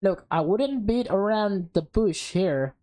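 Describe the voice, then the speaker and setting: A woman voicing an anxious, exasperated high school boy in a visual novel, talking in a casual, hesitant, slangy way. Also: lively intonation, slow, mellow. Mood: earnest.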